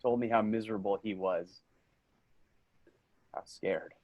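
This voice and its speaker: A man's voice: on the low side, fairly even intonation, a little slow, dry recording, lo-fi sound. A man acting in a play reading as an earnest, fed-up male office worker, grieving and sardonic, with an emotional delivery. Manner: emotional, swinging from shouting to quiet grief. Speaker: actor in a staged reading.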